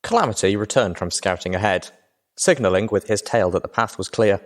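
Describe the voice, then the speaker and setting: A man reading first-person audiobook narration in a steady, measured way. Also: on the low side.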